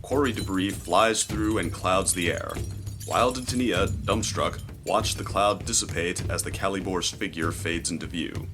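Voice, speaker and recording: A man narrating a scripted adventure story in a measured, descriptive, dramatic storytelling style. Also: deep voice. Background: music.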